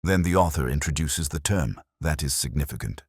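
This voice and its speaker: A man's voice: extremely low pitch, lively intonation, a little fast, slightly rough, dry recording, clean studio sound, full character voice. A man voicing an incisive, cool-headed detective in an audiobook story, speaking in precise, theatrical pronouncements. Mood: keen, analytical. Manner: precise, declarative, aphoristic.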